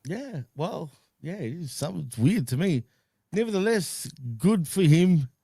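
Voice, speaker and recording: A man with a slightly high voice hosts a wrestling podcast in an easygoing way. He laughs a lot and hedges his points. The sound is dry and close. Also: very animated, measured pace, creaky, dark tone, slightly rough, no echo. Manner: laid-back, laughs often, hedges with fillers. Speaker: podcast host. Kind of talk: casual wrestling chat.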